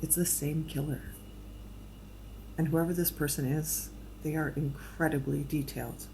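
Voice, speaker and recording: An actress playing a clinical, dry-humored medical examiner briefing a detective. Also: female voice, low-pitched, fairly even intonation, moderate pace, gravelly, dark tone, a little hiss or hum, low-quality recording. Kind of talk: audio drama acting.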